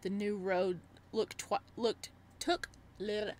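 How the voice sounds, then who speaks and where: A woman giving casual let's-play commentary and reading game text aloud, with an excitable, reactive manner. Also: medium pitch, slow pace, slight background noise. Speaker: gaming streamer.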